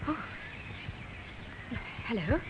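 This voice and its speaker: An actress playing an aloof, defiant young woman artist in an old radio drama, with a guarded, curt manner. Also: very slow. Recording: muffled, noisy old radio recording.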